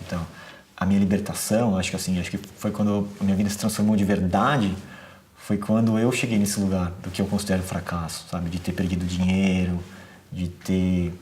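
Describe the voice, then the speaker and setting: A man's voice: low-pitched, a little mellow. A young Brazilian man, an entrepreneur, speaking thoughtfully and earnestly in a documentary interview. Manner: thoughtful, earnest, many rhetorical questions.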